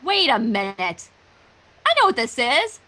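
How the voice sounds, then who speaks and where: A woman's voice: high voice, very animated, measured pace, pushed and bright. A woman in her twenties who sounds younger, chatting playfully and casually on a livestream. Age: 20s. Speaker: livestream guest and voice performer.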